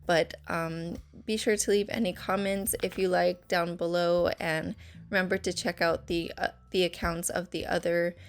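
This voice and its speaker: Low, even-toned woman with creaky voice. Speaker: video creator. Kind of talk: casual voice-over chat, video sign-off.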